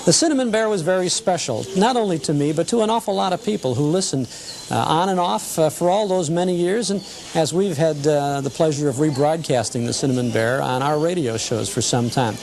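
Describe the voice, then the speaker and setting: A man's voice: a little high, a little fast, vocal fry, a little mellow. A man hosting a nostalgia TV show in an informal, folksy, chatty way with hesitant phrasing. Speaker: host.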